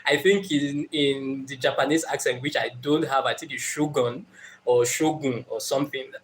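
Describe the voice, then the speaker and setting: A man's voice: medium pitch, steady tone, moderate pace, slight background noise, rough recording. A man with a Nigerian accent hosting a motivational live stream. He speaks earnestly and encouragingly, greets commenters, and uses many fillers.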